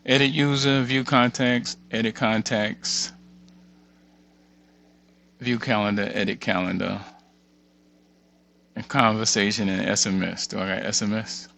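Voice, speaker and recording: A man's voice: fairly low pitch, fairly even intonation, measured pace, creaky, long pauses, a bit bright, slight background noise. A man hosting an unscripted tutorial, muttering to himself as he reads items off one by one and thinks aloud. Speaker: tutorial host. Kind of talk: unscripted screen-share walkthrough, thinking aloud.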